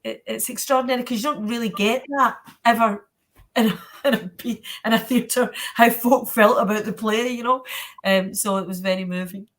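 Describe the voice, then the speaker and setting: A Scottish woman hosting a panel Q&A in a chatty, colloquial, encouraging way. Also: medium pitch. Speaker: panel host.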